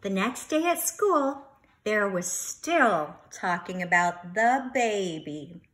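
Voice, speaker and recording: Bright, animated woman reading to young children. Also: mid-range voice, takes it slowly. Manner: instructive, asking questions and repeating key words. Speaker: reader of a children's book, teacher. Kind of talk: expressive read-aloud for young children.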